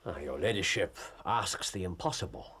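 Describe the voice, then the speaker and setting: A shrewd English consulting detective in a period mystery drama. His low, husky voice swings freely between murmured musing and crisp pronouncement, pausing theatrically as he reasons. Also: expressive, sharp tone, full character voice. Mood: courteous, dry. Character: shrewd, brooding male consulting detective, middle-aged.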